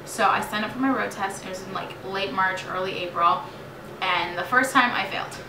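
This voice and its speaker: A late-teen American woman chats like a vlogger telling stories to viewers, animated and dramatic in her delivery. Her pitch is average for a woman. She is recorded away from the mic in an echoey room, with clear noise. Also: normal speed. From New York State, United States. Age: late teens. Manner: animated, chatty, dramatizes remembered exchanges. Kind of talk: casual storytime vlog chat. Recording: roomy echo, distant mic, clearly noisy.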